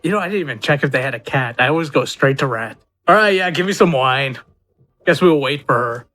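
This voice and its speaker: A man's voice: mid-range voice, lively intonation, a little fast, pushed and bright. A man playing a tabletop role-playing game, chatting casually and joking in character.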